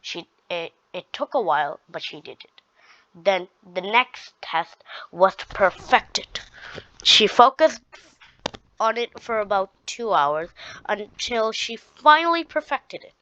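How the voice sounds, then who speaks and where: Slow, halting woman storyteller. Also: mid-range voice, sing-song, bright, forceful tone, no echo. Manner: halting, with frequent restarts and repeated words. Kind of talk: reading her own story aloud. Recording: dull, dry lo-fi recording.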